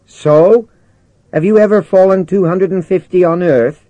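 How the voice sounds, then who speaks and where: A man performs a young male space crewman in an audiobook. He sounds wary and cheeky, challenging what he is told. The pitch is lifted well up, and the sound is close and dry. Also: lively intonation, a little slow, a little mellow, no room sound. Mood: alarmed, challenging. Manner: skeptical, challenging. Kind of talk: audiobook character dialogue.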